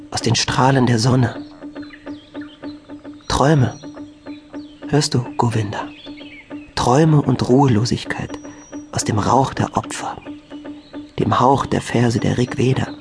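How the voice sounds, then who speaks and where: An actor playing a restless, earnest young Brahmin in a literary radio drama, solemn and introspective. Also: male voice, medium pitch, gravelly. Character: restless young Brahmin man, earnest, brooding, unfulfilled seeker. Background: music.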